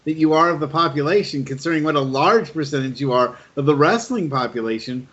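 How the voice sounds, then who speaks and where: Guy hosting a podcast interview, voice a bit on the high side, muffled lo-fi sound. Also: fast talker, a bit bright. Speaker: podcast host asking questions. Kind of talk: conversational interview. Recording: dull, lo-fi call audio.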